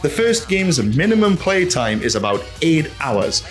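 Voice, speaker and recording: A man giving a casual, opinionated video essay in an animated, ranting manner, full of rhetorical questions and swearing. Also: on the high side, measured pace, soft background music. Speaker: host of a video essay channel.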